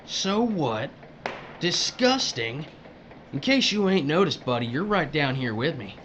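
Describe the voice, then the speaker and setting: A man's voice: fairly high pitch, very animated, measured pace, a bit bright, a little hiss or hum. A man playing a crude, cynical older worker who strips corpses, needling and folksy, in an audio drama. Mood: defensive, gruff.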